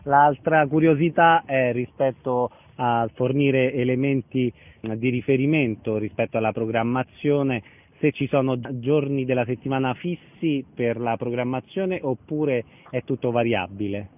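A man's voice: medium pitch, unhurried, hardly any pauses. A man hosting a radio interview, putting questions to a guest. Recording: tinny, phone-like narrow sound.

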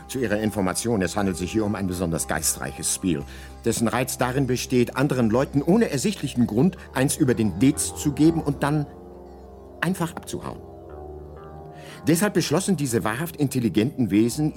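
A man's voice: fairly low pitch, close to an everyday voice. Man as wry guidebook narrator over music. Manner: wry, deadpan asides with deliberate hesitations. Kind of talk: scripted radio play narration. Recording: music behind the voice.

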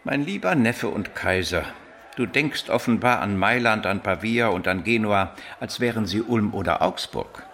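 An actor playing a shrewd, learned older bishop in a radio drama, speaking in a measured, didactic way. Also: male voice, fairly low pitch, lively intonation, a bit bright, slight background noise. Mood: explaining.

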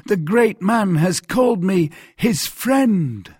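Man acting a high-pitched, fawning commoner prisoner. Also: sing-song, slightly rough, dry recording, studio quality, exaggerated voice. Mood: awestruck flattery. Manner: exclaiming, drawn-out, servile pleading. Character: fawning, gullible, overawed commoner mercer prisoner.

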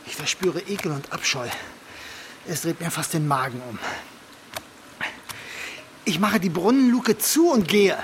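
A man's voice: a little high, lively intonation, unhurried, pauses often, slight background noise. An actor playing a proud, irritable man in a radio play, speaking and narrating in a wordy, dry, articulate way. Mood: disgusted, threatening. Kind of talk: radio play acting and first-person narration.

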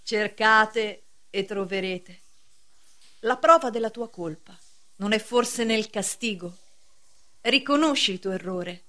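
An actress playing a solemn, severe preacher in a radio play, speaking in a measured, declamatory way. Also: female voice, mid-range voice, a bit bright. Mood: stern, oracular. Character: solemn, severe female preacher, cryptic gatekeeper of justice. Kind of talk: theatrical radio drama acting.

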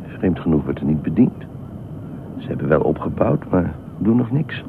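A man's voice: low voice, big pitch swings, moderate pace, vocal fry, full character voice. An actor in a Dutch radio play as a wary, matter-of-fact man, terse and questioning with an odd companion. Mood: dry, casual. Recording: muffled narrow-band old radio recording, noisy ambience.

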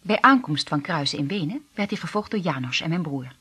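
An actress in a Dutch radio play, playing an earnest, conflicted secret agent princess with a husky voice. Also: female voice, deep voice, expressive, brisk, fairly bright tone, full character voice. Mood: grave, recounting.

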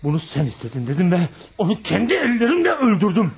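High-voiced bitter young tough outcast. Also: male voice, wildly swooping pitch, quick pace, vocal fry, slightly rough, heavily acted. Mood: intense, grim. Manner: dramatic, emphatic storytelling. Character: embittered young tough outcast, proud, brooding, confessional. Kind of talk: radio theatre acting.

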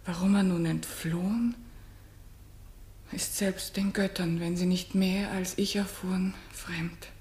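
Wronged queen, proud and solemn, unhurried classical radio-drama delivery, echoing room. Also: on the low side, steady tone, darkish tone. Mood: hushed, hurt. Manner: measured, solemn, declamatory. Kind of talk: classical German radio play stage acting.

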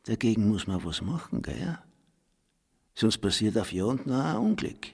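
An actor playing a shady, resentful village man in a radio play, husky, furtive and urgent, in a hollow reverb. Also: lowish voice, big pitch swings, moderate pace, darkish tone, exaggerated voice. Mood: furtive agitation.

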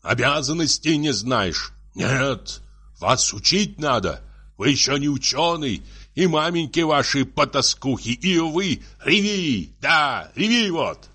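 A man's voice: mid-range voice, big pitch swings, measured pace, pushed and bright, husky, voice pushed up, exaggerated voice. A man voicing a tipsy, jealous, bullying husband who scolds in sputtering, tearful exclamations for a story. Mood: scolding. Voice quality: muffled, tearful, raised voice.

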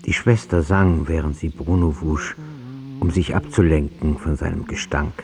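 An actor playing a dry, mocking inner voice that comments on a life, in a German radio drama. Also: male voice, rumbling low, fairly even intonation, moderate pace, a crowd in the background. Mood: matter-of-fact. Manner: dry, sardonic.

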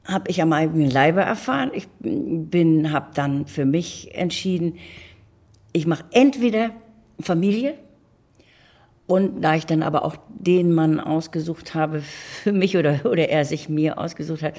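A woman's voice: deep voice, a little slow, darkish tone. Older woman reflecting emphatically in an interview. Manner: emphatic, repeats words, opinionated. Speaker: interview guest. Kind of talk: reflective conversational interview answers.

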